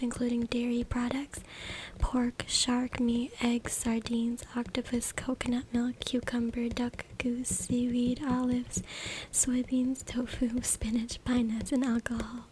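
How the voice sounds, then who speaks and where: A woman reading aloud in a breathy, unvoiced ASMR whisper with informal, hushed asides. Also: on the high side, completely flat delivery, unhurried. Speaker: ASMR creator. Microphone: close USB microphone. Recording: noisy USB mic with clicks, tapping. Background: tapping, scratching, clicks.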